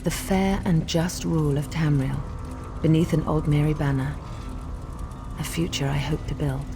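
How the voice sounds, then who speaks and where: A regal elven queen in a fantasy video game. Her voice is low and stately, with formal, measured phrasing and solemn resolve. Music plays behind her. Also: steady tone, vocal fry. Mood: solemn, hopeful. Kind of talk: scripted fantasy game voice acting.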